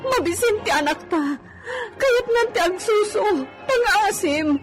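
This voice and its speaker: A plaintive young ilocano mom in a radio play, super high voice, pleading. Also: female voice, animated, unhurried, over loud music. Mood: tearful, pleading. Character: tearful, protective young mother, the master's beloved. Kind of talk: radio drama melodrama.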